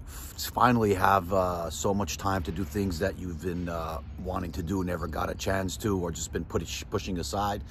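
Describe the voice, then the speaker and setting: Deep-voiced man vlogging outdoors. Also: steady tone, noisy background. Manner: halting, many uh fillers and restarts. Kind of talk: casual travel vlog. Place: outdoors on a desert lookout. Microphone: phone selfie at arm's length.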